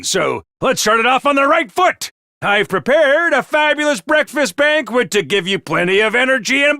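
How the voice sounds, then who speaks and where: An actor playing a flamboyant, bloodthirsty military commander who gives booming, theatrical orders in video game voice lines. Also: male voice, bright, forceful tone, no room sound, studio quality, full character voice. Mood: rousing. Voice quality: booming, theatrical, drill-sergeant bravado. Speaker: commander announcer.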